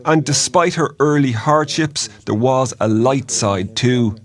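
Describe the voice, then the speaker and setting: A man's voice: medium pitch, measured pace. A man reading a scripted obituary report as a news narrator. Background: music behind the voice-over.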